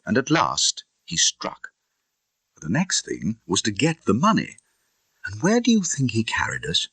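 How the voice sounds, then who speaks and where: A man voicing an indignant Victorian gentleman with a husky British voice, telling a grim tale in an emphatic, theatrical audiobook style. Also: mid-range voice, dry recording, exaggerated voice. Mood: wry, emphatic. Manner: emphatic, theatrical, conversational asides. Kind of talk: dramatised audiobook storytelling.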